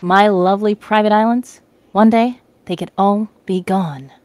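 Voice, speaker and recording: A woman's voice: medium pitch, fairly even intonation, measured pace, a little mellow, no room sound, natural voice. Woman playing a boastful billionaire man. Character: boastful male billionaire preaching eco-friendly future.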